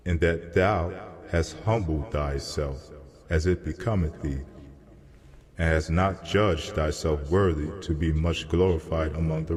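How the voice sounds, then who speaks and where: A man acts out a solemn messenger from heaven in a scripture drama. The voice is very deep and declaims very slowly, with grave weight. Long reverb trails behind each phrase. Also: darkish tone. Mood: solemn, reassuring. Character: solemn male heavenly messenger answering a prophet. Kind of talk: dramatized scripture reading in archaic English.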